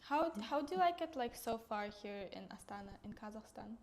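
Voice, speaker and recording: A young woman hosting a casual interview, her voice slightly high. She talks hesitantly and fills her speech with small giggles. A faint room hiss sits under her voice, picked up by a camera off to the side. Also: expressive, a little fast, darkish tone. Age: young adult. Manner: hesitant, with many fillers, giggly. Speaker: student podcast host. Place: small office room with table.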